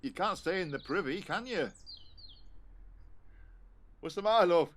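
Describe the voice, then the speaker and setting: A man's voice: high-pitched, lively intonation, unhurried, slight background noise. A man playing a working-class husband in an audio play, with a colloquial, homely, coaxing way of talking. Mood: anxious coaxing.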